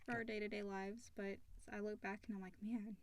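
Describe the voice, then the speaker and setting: A woman's voice: medium pitch, level tone, a little fast, dry recording. A woman co-hosting a podcast, chatting informally and reading out listener questions. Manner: informal, conversational. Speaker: podcast co-host.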